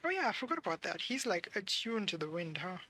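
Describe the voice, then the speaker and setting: A woman's voice: on the low side, very animated, fast, bright, forceful tone. A woman streamer giving casual live gameplay commentary, musing aloud in an offhand way.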